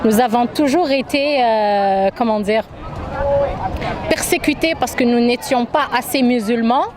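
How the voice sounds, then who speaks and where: A woman's voice: on the high side, a little slow. A middle-aged woman speaking emphatically in an impassioned street interview, piling up lists and rhetorical questions. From Algeria. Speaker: demonstrator interviewed at a march. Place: outdoors in a city street at a demonstration. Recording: noisy outdoor street crowd and traffic.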